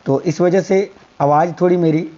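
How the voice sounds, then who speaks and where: A hoarse man teaching a quiz-style science lesson for exam prep, repeating each answer and telling viewers to remember it. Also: sits high, fairly even intonation, normal speed, mellow, highs cut off. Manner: repeats answers, coaxing "याद रखिएगा".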